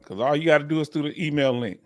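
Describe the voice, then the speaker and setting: A man in his mid-fifties hosts a live question-and-answer stream in a loose, folksy way. His voice sits on the higher side, and he circles back over his words while coaxing viewers to join in. Soft music plays faintly behind him. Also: fast talker. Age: 50s. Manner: folksy, repeats himself, coaxes the audience to join. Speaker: livestream host and veteran claims advisor. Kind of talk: casual live Q&A talk.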